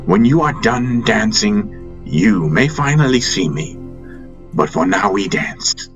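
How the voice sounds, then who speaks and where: A man's voice: low-pitched, muffled recording. Man playing an emphatic, exasperated spiritual master. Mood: patient reproach. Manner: emphatic, preaching, pausing between phrases. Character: loving but exasperated male spiritual master, admonishing a king. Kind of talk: dramatic fantasy audiobook dialogue. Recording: music behind the voice.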